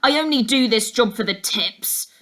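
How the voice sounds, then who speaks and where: A woman's voice: on the high side, moderate pace, pushed and bright. A woman voicing a scruffy, angry student pizza delivery boy in a story, indignant and ranting.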